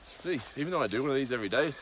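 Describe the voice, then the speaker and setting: A man's voice: mid-range voice, lively intonation, rapid-fire, background noise. A man giving a casual, rambling daily update on a hiking trail, with many ums and side tangents. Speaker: long-distance hiker. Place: outdoors on a cold trail.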